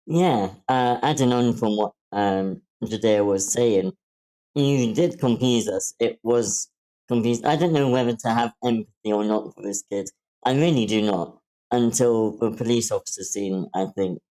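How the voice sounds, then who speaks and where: A man giving frank critique in an online meeting, blunt, deliberate and emphatic in his delivery. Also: lowish voice, dark tone, low-quality recording. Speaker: table-read participant giving script feedback. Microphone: online meeting call.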